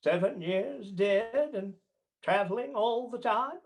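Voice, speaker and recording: A performer playing a crotchety, skeptical old male miser in a radio play, speaking haltingly and theatrically. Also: mid-range voice, animated, creaky. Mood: curious, skeptical. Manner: halting, theatrical, dismissive turning to pleading.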